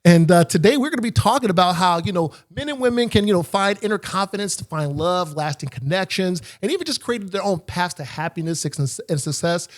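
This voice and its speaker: High-voiced upbeat podcast host. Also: male voice, lively intonation, a little fast, hardly any pauses. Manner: upbeat, laughs often, swears casually. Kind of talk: casual podcast chat. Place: Los Angeles.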